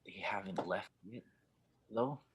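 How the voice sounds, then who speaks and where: Casting: skeptical, protective sidekick man acting, raspy and low, dry delivery, sci-fi audio drama. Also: takes it slowly, fairly bright tone, heavily acted. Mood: doubtful. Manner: dry, doubting. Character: gruff, skeptical male pilot, protective older partner. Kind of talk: audio drama table read.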